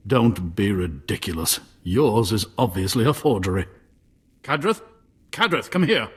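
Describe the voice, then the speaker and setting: An actor playing a haughty, fussy museum curator in a video game, pompous and dismissive, with a husky voice. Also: male voice, fairly low pitch, expressive, measured pace. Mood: indignant, impatient. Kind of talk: video game cutscene acting.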